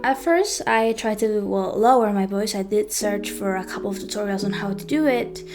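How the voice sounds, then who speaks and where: Young woman, high-pitched voice, hesitant storytime monologue, clear guitar music behind. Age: young adult. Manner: hesitant, with frequent ums and self-corrections. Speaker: vlogger.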